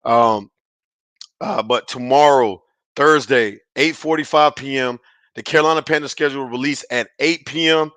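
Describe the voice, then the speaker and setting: Hoarse, raspy man hosting a podcast. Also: mid-range voice, moderate pace, fairly bright tone, no room sound. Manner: hyped, slangy, repeats "man" often. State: hoarse from yelling at practice. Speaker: podcast host. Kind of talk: casual sports podcast talk. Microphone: headset.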